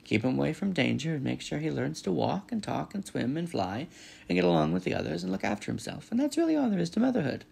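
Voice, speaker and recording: A man voicing an old gray female goose in a storybook, brisk, scolding and bossy, with indignant, emphatic squawking. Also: on the high side, very animated, creaky, a little mellow, husky, voice pushed up, full character voice. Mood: brisk, advising.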